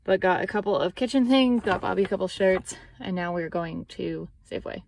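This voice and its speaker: A woman's voice: medium pitch, fairly even intonation, a little fast, gravelly, darkish tone. Woman, thirties, casual rambling vlogger in a car on a phone camera, faint vehicle noise. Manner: chatty, rambling with lots of fillers. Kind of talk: casual vlog chat. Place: parked car. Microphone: phone camera at arm's length.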